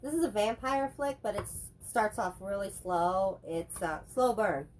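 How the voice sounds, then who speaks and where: A woman's voice: medium pitch, poor audio. Woman with a stuffy head cold rambling on about movies, chatty and jokey, bit of background noise. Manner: rambling, chatty, quick to joke. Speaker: co-host. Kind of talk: casual chat reviewing movies.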